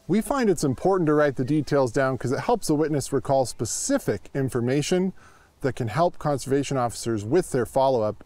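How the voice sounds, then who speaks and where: A man's voice: mid-range voice, a little mellow. A man in his thirties with an American accent, giving scripted instructional narration as a conservation officer. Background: music.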